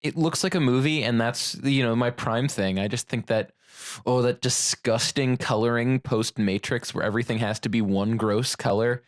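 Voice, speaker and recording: A man's voice: medium pitch, moderate pace. A man co-hosting a podcast in a casual, conversational way, ready to argue his point. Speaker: podcast co-host. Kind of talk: casual film discussion.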